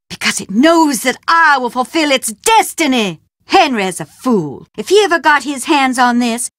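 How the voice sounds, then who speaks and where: Sly housekeeper schemer for a game, lively drawling delivery, bright pitch, close dry read. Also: female voice, dry recording. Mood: gloating, scheming. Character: scheming Southern housekeeper woman, mystical, secretive. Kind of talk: video game voice acting.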